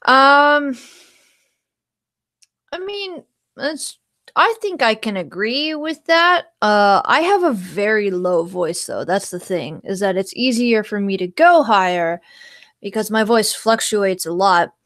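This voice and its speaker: A woman's voice: mid-range voice, animated, takes it slowly, a bit bright, no room sound, studio quality. A young woman streaming casually, rambling about singing and breaking into short song snippets. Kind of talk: casual livestream chat with singing.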